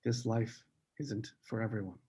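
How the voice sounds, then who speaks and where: A man's voice: lowish voice, fairly even intonation, normal speed, mellow, close to an everyday voice. A man reading the part of a laid-back, teasing young snowboarder buddy in a screenplay table read. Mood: casual.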